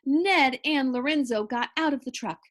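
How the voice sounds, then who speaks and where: A woman reading a children's book aloud in an animated, deliberate storybook delivery. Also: high voice.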